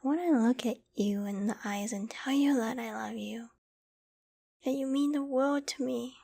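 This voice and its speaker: A woman's voice: on the high side, moderate pace, vocal fry. A woman playing a shy, loving, easily flustered young girlfriend in an intimate ASMR bedtime roleplay, speaking tenderly and hesitantly. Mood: tender whispering. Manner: tender, hesitant, soft-spoken with stammers.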